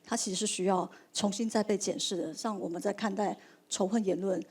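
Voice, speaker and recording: A woman speaking on a panel, measured, with many fillers. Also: medium pitch, fairly even intonation, a little mellow, slightly rough. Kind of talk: panel talk.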